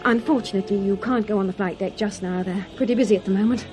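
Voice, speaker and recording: An actress playing a patient but faintly tart air stewardess, brisk and polite, in a radio drama. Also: female voice, medium pitch, music in the background, natural voice.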